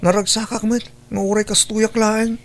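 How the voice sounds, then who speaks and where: A man's voice: high voice, level tone, normal speed. A man playing a defiant, lazy college-age son in a radio drama, insolent and dismissive. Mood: stubborn, nonchalant. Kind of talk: Ilocano radio drama acting.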